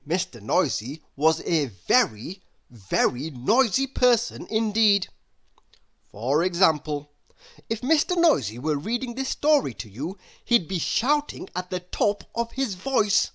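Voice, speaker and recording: A storybook narrator reading a children's tale aloud in an animated, expressive way. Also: medium pitch, a little slow. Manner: animated, expressive, with emphatic sound words. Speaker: storybook reader.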